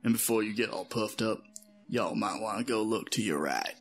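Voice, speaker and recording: A fairly deep-voiced, taunting villain cloaked in flame, acted by a man. He drawls in a folksy, self-assured way, made for a fantasy audio drama. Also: animated, normal speed, soft background music. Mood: cocky, amused. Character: mocking fire-covered villain man, drawling and cocky.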